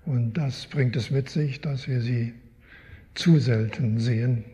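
An older man from Canada, a guest Bible teacher, answering interview questions in a slow, thoughtful, wry way. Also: mid-range voice, fairly even intonation, warm tone. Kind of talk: live interview in a church. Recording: long hall reverb.

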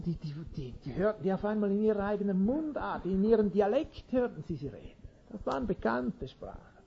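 A man's voice: sits high, dull audio. A man preaching an informal sermon in an earnest way, chuckling now and then and restarting sentences.

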